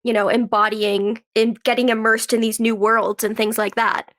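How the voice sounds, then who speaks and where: Higher-voiced woman chatting casually. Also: little pitch movement, moderate pace, a bit bright, dry recording, studio quality. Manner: chatty, informal, acts out quoted remarks. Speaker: podcast guest, actress and writer. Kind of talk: casual chat, personal storytelling.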